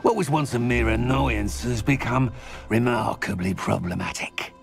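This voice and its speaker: A man's voice: mid-range voice, normal speed, creaky, close to an everyday voice. A man playing a smug, menacing criminal boss in a video game, drawling mockingly in ornate sentences. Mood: mocking menace. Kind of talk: scripted video game villain acting. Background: background music.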